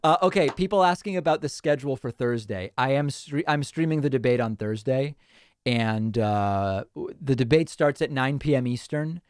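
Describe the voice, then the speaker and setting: A man hosts a relaxed live stream, reading out comments and musing with quick restarts and small laughs. His voice sits at an ordinary pitch, recorded close and dry with no room sound. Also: clean tone, dry recording. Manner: chatty, thinking aloud with restarts and small laughs. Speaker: host. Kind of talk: casual livestream chat reading viewer comments.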